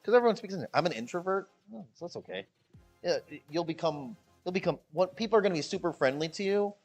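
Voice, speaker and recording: A man's voice: lively intonation, fast, faint music behind. A man from Chicago with a Midwestern American accent, chatting casually and jokily to his stream viewers, rambling and repeating himself. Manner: rambling, jokey, repeats himself, mimics people in high voices. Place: the Philippines.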